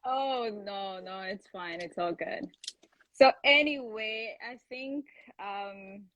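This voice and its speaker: A woman's voice: medium pitch, takes it slowly, a bit bright, low-quality recording. A woman hosting a casual online live chat. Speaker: host of a live online talk.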